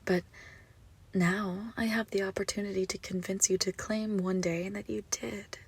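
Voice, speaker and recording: A woman playing an obsessive, gushing yandere kidnapper in a roleplay audio drama, menacingly sweet, with breathy, doting coos. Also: medium pitch, fairly even intonation, normal speed. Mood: hushed, coaxing. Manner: breathy doting coos, often dropping to whispers. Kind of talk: roleplay audio drama, intimate monologue to listener.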